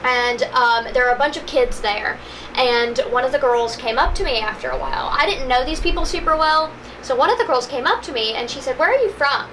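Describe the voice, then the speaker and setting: A woman's voice: sits high, a little fast. A young woman from West Virginia with a slight Appalachian accent, chatting casually as a vlog co-host and speaking with careful enunciation and rounded vowels. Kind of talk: casual chat. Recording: noisy home recording.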